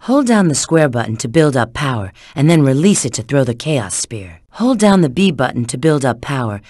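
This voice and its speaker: A woman's voice: a bass voice, big pitch swings, moderate pace, a little mellow, dry recording, studio quality, exaggerated voice. A woman voicing a sly, flirtatious, confident treasure-hunting agent in a video game, giving hints and callouts with teasing assurance. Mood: instructive, confident.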